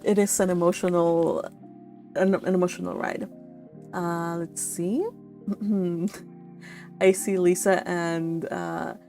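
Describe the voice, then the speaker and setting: Woman, livestream host, effusive and affectionate, casual chat delivery, mid pitch, faint music underneath. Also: darkish tone. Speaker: live stream host reading and answering chat.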